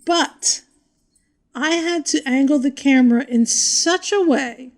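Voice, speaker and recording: A middle-aged woman co-hosts a casual podcast with a dry, self-mocking wit. Her voice is high for a woman, and she keeps things wry and unhurried while laughing easily. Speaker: podcast co-host. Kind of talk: casual comedic chat.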